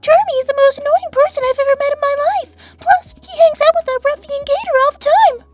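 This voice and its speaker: A woman voicing a prim, polite little rabbit girl in a cartoon, sweet and formal with a sharp streak. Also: extremely high pitch, fast, faint music behind. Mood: indignant. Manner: sweet, formal, primly disapproving. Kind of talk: in-character cartoon Q&A.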